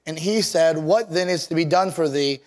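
Male preacher, somewhat high-pitched, voice worn and a touch scratchy, unpolished plainspoken sermon delivery. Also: moderate pace, darkish tone. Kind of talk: sermon with scripture reading and prayer.

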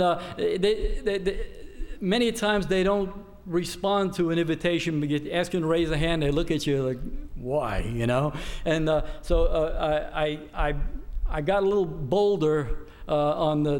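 Older male preacher, slightly high voice, rambling storyteller with lots of fillers and restarts. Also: moderate pace, a little mellow. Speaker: missionary preacher. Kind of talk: informal sermon report with a joke.

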